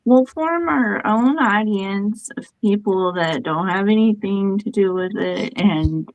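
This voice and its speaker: A woman's voice: mid-range voice, slow pace, dry recording, poor audio. A woman hosting a casual livestream chat, blunt and outspoken, who swears casually. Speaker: livestream host.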